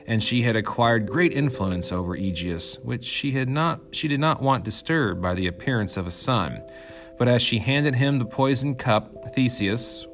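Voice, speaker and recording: A man reading an ancient myth aloud as scripted storytelling narration. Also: mid-range voice.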